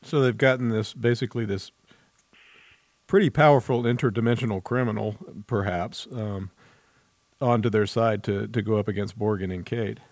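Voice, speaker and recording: A man hosting a conversational book interview, asking questions in a tentative, halting way. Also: on the low side, fairly even intonation, a little mellow, no room sound. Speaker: interviewer, host.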